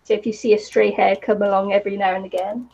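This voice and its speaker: A woman with a New Zealand accent chatting casually on a live craft stream, her voice croaky today, rambling with lots of ums and little laughs. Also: medium pitch, steady tone, fast, a little mellow. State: croaky voice from the heat. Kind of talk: casual chat while crafting. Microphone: computer or camera mic with a fan nearby. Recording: slightly dull lo-fi sound.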